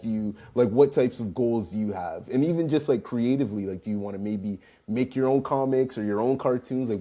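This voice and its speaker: Host: man, mellow, easygoing interviewer, casual questions. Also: medium pitch. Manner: easygoing, asks questions, quick agreeing replies. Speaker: podcast host.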